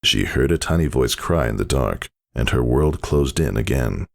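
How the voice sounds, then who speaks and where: Male narrator for dramatic fiction: extremely low, highly expressive, intense and theatrical. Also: normal speed, vocal fry.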